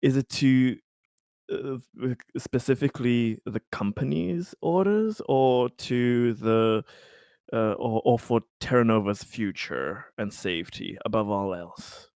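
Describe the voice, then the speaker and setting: A man playing a tabletop roleplaying game, talking casually in halting, tentative phrases as he describes his character's actions. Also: medium pitch, darkish tone, clear, resonant tone, dry recording, studio quality.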